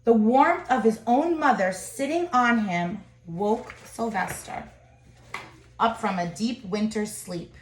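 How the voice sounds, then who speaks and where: A woman reading a children's picture book aloud in an expressive way, pausing to comment to her listeners. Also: mid-range voice, a little hiss or hum. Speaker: storybook reader. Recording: echoey room.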